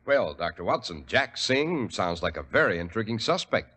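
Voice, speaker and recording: A man with an American accent announcing a 1940s radio sponsor pitch in a polished, emphatic, salesmanlike way. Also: sing-song, moderate pace, loud music behind the voice.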